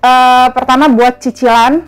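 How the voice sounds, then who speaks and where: A woman in her thirties answering interview questions in a chatty, relaxed, slangy way. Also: high voice, a little slow, clean tone, soft background music. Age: 30s. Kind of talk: casual street interview answers.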